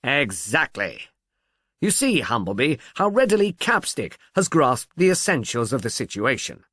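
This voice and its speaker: A man's voice: medium pitch, huge pitch swings, a little slow, a bit bright, dry recording, heavily acted. An impatient, clever amateur detective , acted out by a male reader lectures emphatically in an audiobook mystery. The voice swoops up and down with great animation, recorded very dry. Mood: lecturing.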